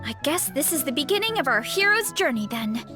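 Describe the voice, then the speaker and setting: A woman voicing an earnest, gentle, slightly awkward young heroine in a video game. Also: sits high, sing-song, brisk, music in the background.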